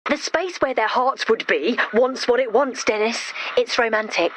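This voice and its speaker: Actress as an earnest, high-voiced game heroine. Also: female voice, normal speed, a bit bright, a little hiss or hum, close to an everyday voice, rough recording. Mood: earnest, romantic. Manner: chatty, wry, earnest. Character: earnest, romantic, gently bossy young woman organizing monster wedding. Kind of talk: video game voice lines.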